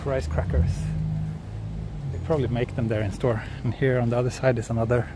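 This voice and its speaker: A man from Sweden, speaking English as a second language, hosts a relaxed live walking stream outdoors and chats with viewers as he goes. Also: mid-range voice, a little fast, background noise. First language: Swedish. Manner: relaxed, answers viewer chat while walking. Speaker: vlogger walking through a city. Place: outdoors on city streets.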